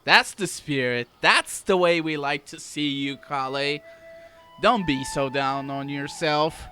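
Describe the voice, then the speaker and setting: A woman's voice: deep voice, slow, pushed and bright. A woman giving live gameplay commentary, talking back to the characters in an encouraging way. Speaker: gaming video host. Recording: game music behind the voice. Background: video game music.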